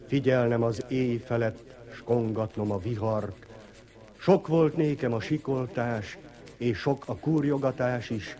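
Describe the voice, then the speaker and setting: A man's voice: medium pitch, mellow. A man recites dramatic poetry in a theatrical, weary and brooding manner, voicing a bitter old clock that has kept watch for centuries. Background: music.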